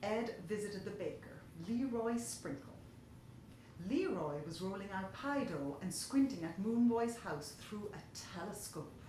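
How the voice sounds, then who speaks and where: A woman reading a children's story aloud in an expressive storytelling style. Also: mid-range voice, unhurried. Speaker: story reader. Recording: very echoey room, faint noise.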